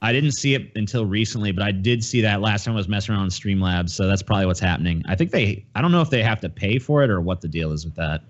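Man, low pitch, casual podcast host, profane, blunt, slightly dull recording. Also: fast, few pauses. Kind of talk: casual chat.

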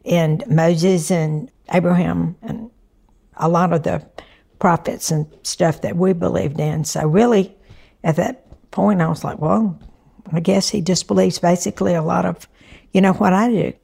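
Creaky-voiced Southern woman in her sixties. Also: on the low side, fairly even intonation, unhurried, warm tone. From Alabama, US. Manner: chatty, down-to-earth storytelling. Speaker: interviewee, mother. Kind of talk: interview recollection.

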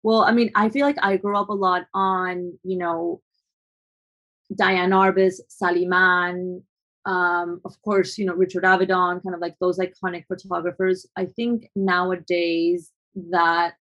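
A woman's voice: fairly low pitch, flat delivery, measured pace, a bit bright, dull audio. A young adult woman photographer from Colombia, answering interview questions in a thoughtful, hesitant way with frequent restarts.

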